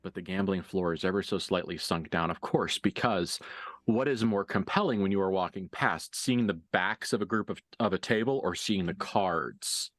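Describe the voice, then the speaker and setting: A man working as game master, improvising narration for a tabletop roleplaying game with deliberate, halting phrasing and dramatic emphasis. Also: sits low, fairly bright tone, no echo. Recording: dry voice over background music.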